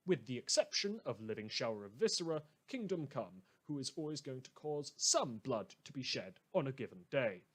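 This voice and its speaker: A man playing a florid, drily wry radio host who reads scripted news with dramatic, deliberate emphasis. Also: mid-range voice. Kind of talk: scripted fictional community radio news monologue.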